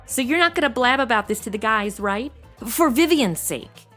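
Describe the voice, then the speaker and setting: A woman's voice: on the high side, animated, moderate pace, fairly bright tone, soft background music. A woman playing a brash, sarcastic young temp posing as a showgirl, talking in blunt modern slang in a noir comedy audio drama. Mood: bluffing.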